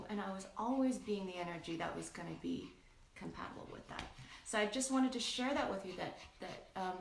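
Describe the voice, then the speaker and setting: A woman's voice: medium pitch, distant mic. A woman speaking as a life coach and guest, telling personal motivational stories in an earnest, emphatic, casual way. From born in Thailand to a Cambodian family, raised in the United States. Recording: echoing room, clear background noise.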